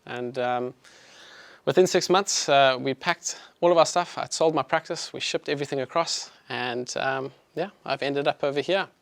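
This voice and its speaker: A man's voice: mid-range voice, moderate pace, creaky, no echo. Chatty South African man giving a talk. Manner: friendly and conversational, with many fillers. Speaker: chiropractor giving an evening presentation. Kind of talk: public health talk to an audience. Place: clinic presentation room.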